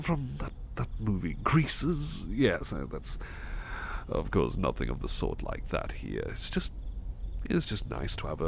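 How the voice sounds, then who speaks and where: A man performs a devoted gentleman butler in an intimate ASMR roleplay. His low voice moves expressively up and down and often frays into soft gravel. He is polite and hesitant, stumbling gently over his words, with a faint noise in the recording. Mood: warm, earnest. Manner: hesitant, gentle, courteous, stammering. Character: devoted, polite, history-loving male butler companion.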